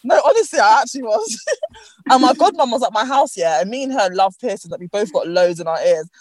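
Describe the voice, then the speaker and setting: A woman's voice: fairly high pitch, fast, no room sound. A young woman with a London accent, telling stories in slangy casual chat on a podcast. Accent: London English. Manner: expressive, slangy storyteller.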